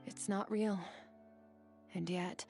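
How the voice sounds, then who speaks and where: A woman's voice: mid-range voice, fairly even intonation, takes it slowly, gravelly, a little mellow. An actress playing a stoic, centuries-old warrior woman in a video game, grave, composed and philosophical. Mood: solemn, reflective. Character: solemn, stoic centuries-old swordswoman from the stars. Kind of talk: dramatic video game voice acting. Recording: music behind the voice. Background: game music.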